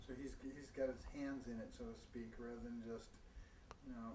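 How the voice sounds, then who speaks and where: A man's voice: mid-range voice, flat delivery, moderate pace, crowd noise. A man interviewing in a casual, conversational way, tentatively rephrasing as he thinks aloud. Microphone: away from the microphone. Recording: distant mic, echoey room, noisy.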